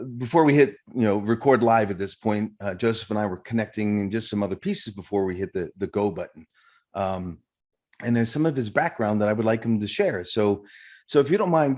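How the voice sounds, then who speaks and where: A man's voice: fairly low pitch, a little mellow. A male podcast host giving an earnest, effusive introduction and asking questions, with fillers and restarts.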